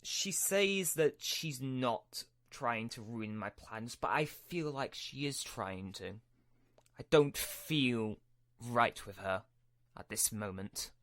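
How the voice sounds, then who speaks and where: A man playing a scheming, imperious Sith lord in a Minecraft roleplay, speaking in halting, deliberate phrases. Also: medium pitch, lively intonation, fairly bright tone, no echo, studio quality. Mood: menacing. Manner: halting, deliberate, with drawn-out pauses. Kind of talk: hobby Minecraft roleplay acting.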